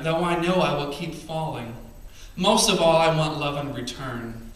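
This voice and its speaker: Male spoken word poet, thirties, bright edge, punchy rhythmic reading into a stand mic, heard from the back of a boomy cafe. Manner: emphatic, rhythmic poetry delivery with quiet asides between poems. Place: small cafe stage. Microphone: stand mic, far camera. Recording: echoey venue, camera from far off.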